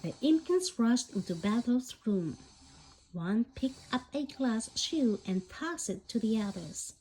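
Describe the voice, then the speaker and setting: A woman reading a storybook aloud as scripted narration, in an expressive, animated way. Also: fairly high pitch, measured pace, husky, rough recording. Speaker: bedtime story reader.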